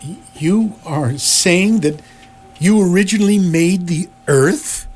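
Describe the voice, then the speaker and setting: An actor playing a bewildered, overwhelmed ordinary Earthman in a comic radio drama, halting and incredulous. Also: sits high, darkish tone, breathy edge, full character voice.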